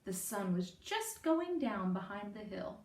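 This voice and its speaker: Lady reading a kids book out loud, super expressive, slowish, bit far from the mic. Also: mid-range voice. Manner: expressive, emphatic read-aloud delivery. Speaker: storyteller. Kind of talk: children's picture-book narration. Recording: roomy, distant microphone.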